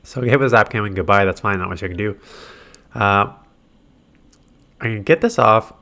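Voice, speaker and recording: Congested man, slightly low voice, nasal, loose filler-heavy gaming commentary. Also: normal speed, vocal fry, pushed and bright. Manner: chatty, filler-heavy, thinking aloud. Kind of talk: casual play-by-play commentary over game footage.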